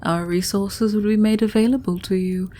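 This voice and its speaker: A woman playing a shrewd, regal, mature queen in a fantasy audio roleplay, speaking in a stately, deliberate, formal manner. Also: mid-range voice, fairly even intonation, warm tone, close to an everyday voice. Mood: stately, gracious. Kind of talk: audio roleplay fantasy acting, addressing listener.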